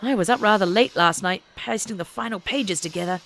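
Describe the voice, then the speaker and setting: A woman's voice: medium pitch, brisk, gravelly, a bit bright, slight background noise, low-quality recording. A woman narrator voicing a confident older mother in an audiobook story, with an assured, teasing, matronly manner. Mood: proud, hushed. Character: brisk, confident older mother, a women's suffrage campaigner.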